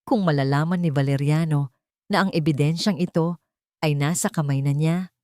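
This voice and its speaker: A woman's voice: on the low side, a little mellow, no room sound, studio quality. Woman narrating a dramatic story.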